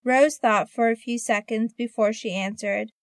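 A woman reads a short story passage for a language test. Her voice is fairly high and her reading clear and even. The sound is close and dry. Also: normal speed, no echo. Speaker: test narrator. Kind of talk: scripted narration.

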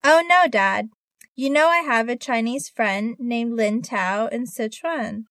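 An eager, grateful teenage girl in a textbook dialogue, read by a woman. The voice sits fairly high and the delivery is clear and careful. The recording is dry and close. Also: slow pace, fairly bright tone, dry recording. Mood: earnest. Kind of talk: scripted listening-test dialogue.

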